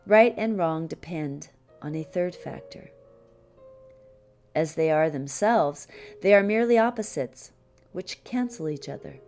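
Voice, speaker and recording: A woman reading a spiritual teaching text as an audiobook, measured and deliberate, pausing often between short phrases. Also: mid-range voice, mellow. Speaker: audiobook reader. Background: music behind the voice.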